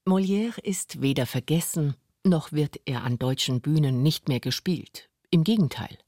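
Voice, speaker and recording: Scripted docu narrator, gravelly edge, dry close studio sound. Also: mid-range voice, measured pace, dark tone, dry recording.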